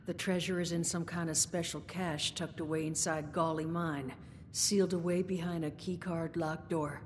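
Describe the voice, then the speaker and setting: An actress playing a world-weary, guarded bar owner in a video game, with a dry, worldly way of talking. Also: female voice, steady tone, normal speed, close to an everyday voice. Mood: wry, businesslike. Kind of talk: video game dialogue acting. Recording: reverberant game audio with rumbling noise.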